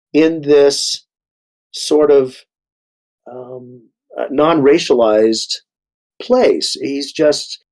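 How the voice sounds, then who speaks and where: Middle aged guy on a panel, thinking out loud, keeps stopping and restarting words. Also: medium pitch. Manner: halting, repeats and restarts words. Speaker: actor on a discussion panel. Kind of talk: reflective panel talk.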